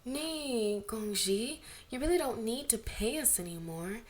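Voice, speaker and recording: A woman voicing an insistent, skeptical young actress in a fan fiction reading, with brisk, questioning delivery. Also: on the high side, lively intonation, voice pushed up. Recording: amateur home recording with background noise.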